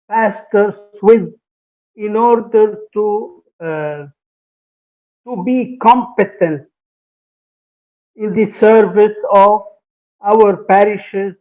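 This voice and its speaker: A man from Lebanon, a priest and professor giving a hesitant conference talk full of fillers and restarts. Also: high voice. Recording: tinny, phone-like conference stream.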